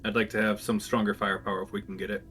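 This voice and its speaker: A man playing a tabletop roleplaying game, talking briskly and decisively with a joking edge. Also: fairly low pitch, level tone, fairly bright tone, faint music behind. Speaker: player.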